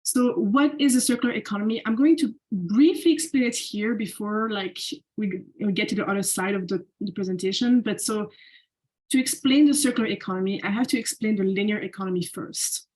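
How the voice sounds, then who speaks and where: A woman's voice: mid-range voice, fairly quick, darkish tone, husky, poor audio. A young woman from Belgium giving a slide presentation as a podcast guest, talking casually with lots of "like" fillers and restarts. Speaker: guest speaker.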